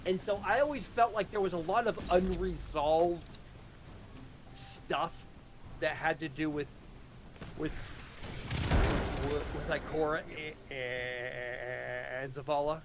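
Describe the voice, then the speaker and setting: A man talking casually about a game story, haltingly, repeating words as he thinks aloud. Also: a little high, fairly even intonation, background noise. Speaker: host presenting his audio drama.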